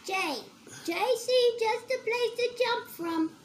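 A child reading a picture book aloud in a slow sing-song way, letter by letter. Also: extremely high pitch, a little hiss or hum.

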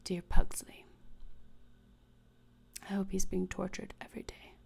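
A young woman acting as a gothic teenage schoolgirl whispers with a gravelly creak, close to the mic. She is gloomy and morbid, delivering every phrase with deadpan, deliberate disdain in an ASMR roleplay. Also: medium pitch, heavily acted. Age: young adult. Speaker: ASMR creator. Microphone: close desktop microphone, seen.

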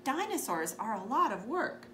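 A woman's voice: a little high, very animated, moderate pace, bright, forceful tone. A woman voicing a weary, exasperated mother in a children's storybook. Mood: reluctant. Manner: protesting, weary. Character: exasperated, reluctant but loving young boy's mother. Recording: echoey room with background noise.

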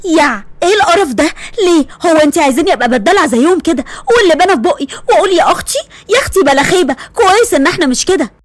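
A woman's voice: extremely high pitch, lively intonation, racing, no echo. A woman voicing an irritable, sarcastic tomboyish young woman with an Egyptian accent. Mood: exasperated. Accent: Egyptian Arabic. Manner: exasperated, sarcastic.

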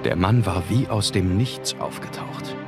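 A man's voice: sits low, unhurried. An actor playing an earnest young law clerk, narrating his diary formally in an audio drama. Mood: tense. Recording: loud music under the voice.

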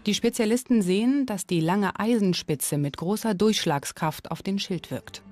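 A woman's voice: mid-range voice, moderate pace, a little mellow. Woman narrating a documentary over music. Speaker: presenter and reporter of a history documentary. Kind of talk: scripted documentary narration with on-location interview questions.

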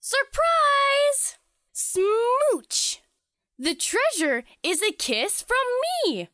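A woman's voice: extremely high pitch, big pitch swings, drawn-out words, bright, forceful tone, dry recording, studio quality, heavily acted. A woman voicing a bubbly, dramatic young princess who gushes perkily in a children's comic read-aloud. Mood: gleeful. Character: bubbly, dramatic girl princess sending knights on quests.

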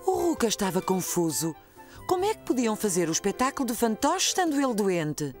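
A woman playing a kindly narrator of a children's cartoon story, expressive, with a European Portuguese accent. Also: medium pitch, fairly quick, faint music behind, close to an everyday voice. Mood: warmly explaining.

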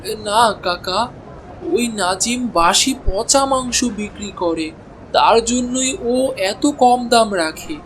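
A man's voice: high-pitched, takes it slowly, fairly bright tone, slight background noise, poor audio. A man voicing an honest, hard-pressed village butcher and meek husband in a cartoon, earnest and pleading. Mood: earnest, defensive. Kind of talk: Bengali cartoon voice acting.

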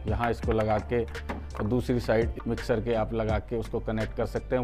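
A man's voice: medium pitch, darkish tone. An Indian man hosting a product unboxing and demonstration, explaining things step by step to viewers, often repeating his points and addressing them in a friendly, familiar way. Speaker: product reviewer and channel host.

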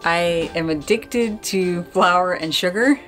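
A woman's voice: on the low side, slow, over loud music. A middle-aged woman chatting casually and laughing at herself while filming in a boat's galley. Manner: laughing, chatty, self-mocking. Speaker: sailing vlogger. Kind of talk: casual vlog chat. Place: boat galley, indoors.

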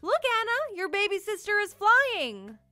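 Storybook father, read by a woman: playful, doting, high cooing delivery for small children. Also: big pitch swings, a little slow, sharp tone, no room sound, faint music behind, full character voice. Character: playful, doting dad of two small girls.

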